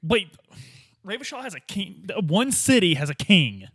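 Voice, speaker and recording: A man's voice: sits high, very animated, unhurried, fairly bright tone, breathy edge, no echo, studio quality. A man playing a role-playing game in casual chat, asking questions and thinking aloud.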